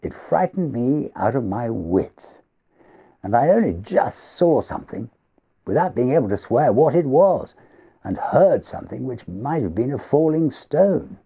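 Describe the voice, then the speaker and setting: Man voicing a husky, animated gentleman host. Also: mid-range voice, unhurried, pitched above the performer's own voice, full character voice. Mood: hesitant, confiding. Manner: emphatic, conversational, refined. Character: genteel male party host, confiding and faintly haunted. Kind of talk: storybook character dialogue.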